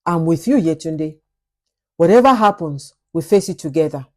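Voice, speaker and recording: A woman voicing a troubled, resolute husband in a folktale, speaking forcefully and emphatically. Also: deep voice, expressive, moderate pace.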